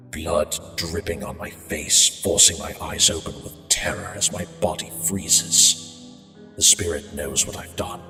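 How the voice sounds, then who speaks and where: A man playing an unhinged murderer narrating his haunted tale in a brooding, halting, theatrical horror monologue. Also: a bass voice, pushed and bright, heavily acted. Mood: dread, rising panic. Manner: brooding, halting, slow, theatrical prose delivery. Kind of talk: dark dramatic horror monologue. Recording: echoey reverberant hall sound, music behind.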